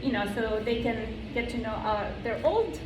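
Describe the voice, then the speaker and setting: A woman in her fifties speaks slowly and earnestly, reading a prepared talk to her club. Her voice sits a little high. She is picked up from across an echoey meeting room, with steady background noise behind her. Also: far from the mic. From Lebanon. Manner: slow, earnest, read from notes. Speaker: club member giving a talk. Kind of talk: prepared personal speech.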